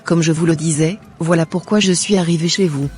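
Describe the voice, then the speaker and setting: Husky, scheming young temptress played by a woman. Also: low voice, fairly even intonation, a little fast, a little mellow, soft background music. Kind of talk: scripted cartoon dialogue and narration.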